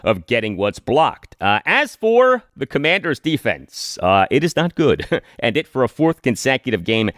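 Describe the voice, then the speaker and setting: A man hosting a sports analysis show, speaking in a measured, deliberate way and reciting statistics formally. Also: medium pitch, very animated, fairly bright tone, no echo, clean studio sound. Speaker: sports podcast host.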